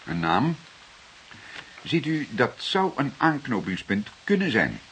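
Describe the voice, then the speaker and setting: A middle-aged actor playing a calm, patient senior detective in a crime drama, questioning in a deliberate, musing, hesitant way. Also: male voice, medium pitch, full character voice. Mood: calm, thoughtful. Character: calm, patient senior male police detective, gently probing. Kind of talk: naturalistic crime drama acting. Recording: hissy recording, faint noise.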